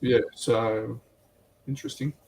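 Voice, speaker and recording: A man co-hosting a casual livestream, talking in a halting, thinking-aloud way. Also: medium pitch, fairly even intonation, darkish tone, recorded from a distance. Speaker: livestream co-host. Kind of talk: casual hobby chat.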